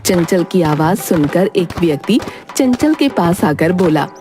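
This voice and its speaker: A woman's voice: on the low side, lively intonation, measured pace, over music. A woman reading scripted narration for a moral folk story.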